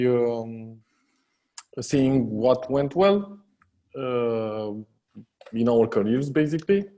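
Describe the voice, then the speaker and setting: Slow, low-voiced Romanian-accented man. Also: dark tone. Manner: hesitant, many fillers and restarts, laughs often. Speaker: guest, software company co-founder. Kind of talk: casual interview chat.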